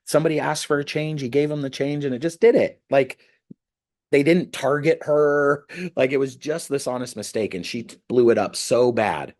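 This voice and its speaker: A man who is a pastor teaching a ministry class, telling candid personal stories in a lively way. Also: mid-range voice, moderate pace. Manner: candid, animated storytelling, voice jumps up when excited.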